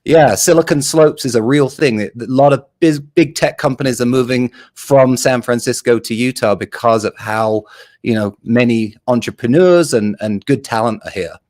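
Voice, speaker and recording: Enthusiastic London-born video producer. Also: male voice, mid-range voice, moderate pace. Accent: English, London. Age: 40s. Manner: enthusiastic and animated. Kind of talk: interview answers.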